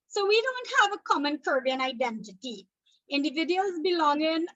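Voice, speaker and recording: A woman's voice: high-pitched, fairly bright tone, no room sound, muffled recording. A woman from Trinidad and Tobago with a Trinidadian accent, teaching an exam revision lecture, explaining points step by step and checking in with "okay?".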